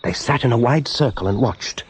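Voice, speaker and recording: A man's voice: medium pitch, moderate pace, breathy edge. A man narrating a radio drama as a storyteller, with vivid, suspenseful delivery. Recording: dull 1980s radio recording.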